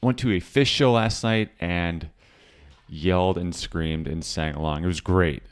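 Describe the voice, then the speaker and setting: A man with a hoarse voice, hosting a casual market commentary show and rambling through charts with fillers and restarts. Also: low voice, measured pace. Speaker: crypto trading show host.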